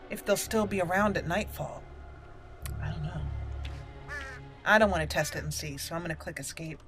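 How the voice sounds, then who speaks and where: A woman giving casual gameplay commentary, rambling and thinking aloud as she plays. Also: fairly low pitch. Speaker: gameplay host.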